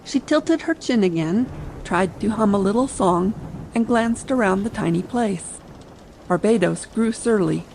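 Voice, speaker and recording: Female narrator, gravelly creak, mid pitch, dramatic storytelling for late-night listening, faint sea ambience behind. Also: expressive, a little slow, darkish tone, slight background noise, rough recording.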